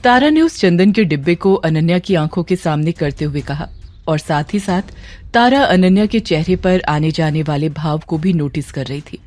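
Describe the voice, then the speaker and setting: A woman's voice: sits low, animated, normal speed, darkish tone. A woman narrating a scripted horror audio story in a dramatic storytelling style. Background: music under the voice.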